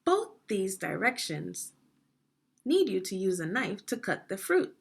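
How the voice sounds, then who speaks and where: A woman reading aloud a children's instructional book like a teacher, phrasing deliberately and putting prompting questions to young readers. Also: mid-range voice, sing-song, creaky, husky. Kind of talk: read-aloud guided reading lesson.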